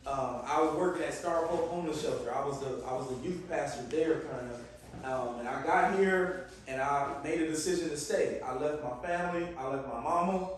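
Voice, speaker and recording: A man's voice: on the high side, slight background noise. Slangy man talking to youth, echoey. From Detroit, Michigan. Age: 40s. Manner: conversational, calls on the crowd, slangy. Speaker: youth speaker. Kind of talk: casual youth talk. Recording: echoey room, distant mic.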